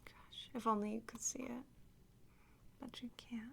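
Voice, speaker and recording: A woman rambling softly and in whispers as an ASMR host, with a cutesy, coaxing, playfully teasing manner. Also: fairly high pitch, steady tone, a little slow, vocal fry. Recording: faint background noise.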